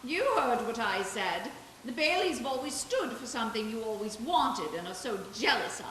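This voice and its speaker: Outspoken, upright female state examiner, actress, high bright radio-play voice with heavy echo. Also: expressive, normal speed. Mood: indignant. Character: outspoken, indignant, principled female bank examiner. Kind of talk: live radio play acting. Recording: very echoey stage, faint hiss.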